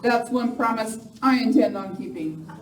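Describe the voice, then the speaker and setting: A fairly high-voiced, bloodthirsty female gang boss rallies her outlaws as a woman acts her out on stage. It is an amateur western radio play, picked up at a distance in an echoey room with clear noise. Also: normal speed, lightly acted. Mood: determined. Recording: echoey hall, distant mic, noisy.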